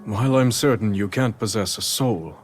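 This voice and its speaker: Deep-voiced, burdened noble king played by a man. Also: over music, lightly acted. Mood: grave, pondering. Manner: formal, measured, solemn. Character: noble, burdened male king, grave and reflective. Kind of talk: dramatic video game voice acting.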